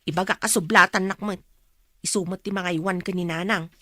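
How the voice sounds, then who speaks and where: A woman's voice: lowish voice, fairly even intonation, a little fast, sharp tone, dry recording. An actress playing a weary, resentful daughter caring for her mother, in an Ilocano-accented radio drama, exasperated and complaining.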